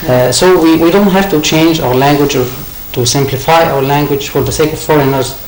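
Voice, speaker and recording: A man speaking as a guest on a studio panel, calm and explanatory. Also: a little high, fairly quick. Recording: echoey studio, faint noise.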